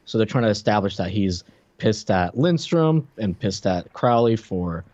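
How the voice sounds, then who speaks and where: A man's voice: on the low side, expressive, normal speed, darkish tone. A man co-hosting a podcast, casually commenting and explaining details in a conversational way. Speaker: podcast co-host. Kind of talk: casual chat, commentary on a TV episode. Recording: slightly dull, lo-fi sound.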